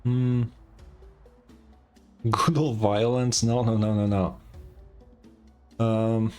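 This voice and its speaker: A man's voice: lowish voice, flat delivery, extremely slow, darkish tone, smooth. A man giving casual reaction commentary as he plays a game, muttering and thinking aloud with some hesitation. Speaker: gaming streamer. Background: game music.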